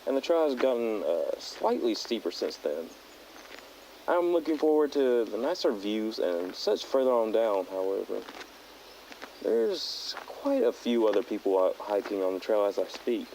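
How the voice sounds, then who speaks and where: A man playing a thoughtful teenage hiker who speaks haltingly and earnestly into a personal audio diary. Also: lowish voice, mellow, low-quality recording. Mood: calm, appreciative. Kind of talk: found-footage audio log monologue. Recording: outdoor tape log with nature noise.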